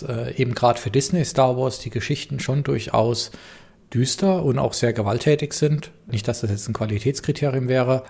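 A man with a gravelly, slightly husky voice at an ordinary pitch, talking through a book review on his own podcast. He muses aloud, hedges his opinions and pauses on small fillers, in a casual solo style. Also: darkish tone. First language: German. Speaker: podcast host reviewing books.

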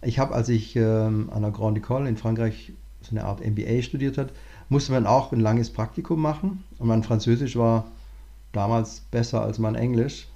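A man, a management consultant, talking through his career in a relaxed interview, thinking aloud with many restarts. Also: mid-range voice, moderate pace, highs cut off. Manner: thoughtful, many fillers and restarts. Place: lives in Cape Town, remote interview.

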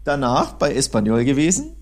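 A man's voice: medium pitch, wildly swooping pitch, normal speed. A man chatting casually and colloquially as co-host of a football podcast. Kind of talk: casual chat, recounting match visits.

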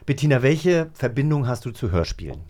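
A middle-aged man hosts a casual interview in a mid-pitched voice. He talks very quickly and chattily. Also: sing-song, a little mellow. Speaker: podcast host. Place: indoor room with others.

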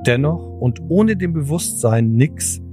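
A man's voice: on the high side, lively intonation, a little slow, over music. A man narrating a scripted audio drama as its storyteller.

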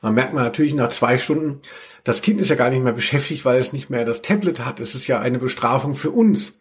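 A man's voice: medium pitch, fairly quick. A man chatting casually and humorously as a podcast host, with wry, joking asides. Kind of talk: casual humorous podcast chat about audio dramas.

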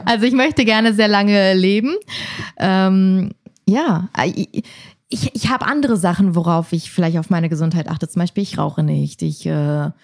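A woman's voice: medium pitch, measured pace, no room sound, clean studio sound. A woman in her late twenties speaking frankly and easily as an interview guest. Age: 20s. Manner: frank, easygoing, laughs at herself. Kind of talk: casual chat.

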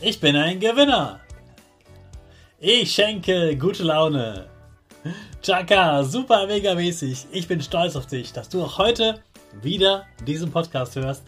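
Guy doing a kids podcast, bit husky, encouraging n simple words, music behind him. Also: high-pitched, expressive, slow, fairly bright tone. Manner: encouraging, addresses the child directly in simple words. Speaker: host of a children's podcast. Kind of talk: motivational children's podcast talk.

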